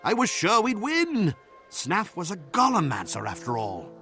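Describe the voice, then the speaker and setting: A man voicing an anxious, boastful golem inventor in a fantasy video game, fretful and animated. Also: high voice, measured pace, faint music behind, heavily acted. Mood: panicked, baffled. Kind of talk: scripted game cutscene acting.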